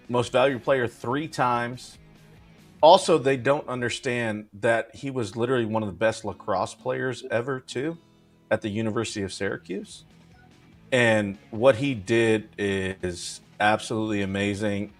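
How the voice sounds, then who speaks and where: A man on a sports panel, making his case in casual debate. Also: mid-range voice.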